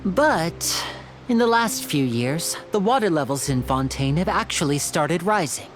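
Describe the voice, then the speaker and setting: A composed, earnest young woman in a video game. Her voice sits low, with expressive rises and falls and an articulate, mysterious, theatrical delivery. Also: a little slow, soft background music.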